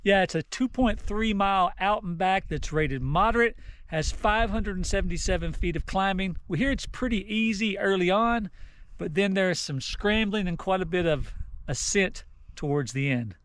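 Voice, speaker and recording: A man's voice: sits high, normal speed, fairly bright tone, no echo. A man in his fifties hosting a travel vlog, talking casually and upbeat while hiking a desert canyon trail with a handheld camera. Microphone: handheld camera at arm's length.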